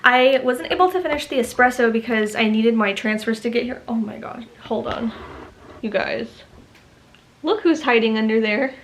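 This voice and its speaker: Young woman, slightly higher voice, chatty rambling vlog talk, a little stuffy-nosed. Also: normal speed, slight background noise. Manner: chatty, rambling, many ums and asides. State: stuffy from dust allergy. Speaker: small business owner vlogging. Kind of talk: casual vlog chat to camera. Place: home studio office.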